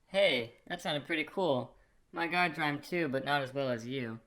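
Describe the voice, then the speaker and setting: A man's voice: fairly high pitch, moderate pace, a bit bright. A man playing a crass, self-absorbed king in a puppet film, talking in a casual, rambling, condescending way. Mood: smug, amused. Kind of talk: puppet film character acting.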